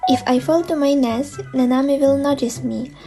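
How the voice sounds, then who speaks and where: High-voiced woman dubbing comics. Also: fairly even intonation, normal speed. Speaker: comic dub narrator. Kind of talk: dramatic comic dub voice acting. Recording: music behind the voice.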